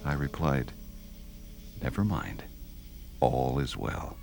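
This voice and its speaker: An actor playing an earnest young religious visionary, solemnly and deliberately recounting his vision in formal old-fashioned language in an audio drama. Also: extremely low pitch, expressive, creaky, darkish tone, soft background music, heavily acted. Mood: awed, hushed. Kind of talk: dramatized first-person narration, audio drama.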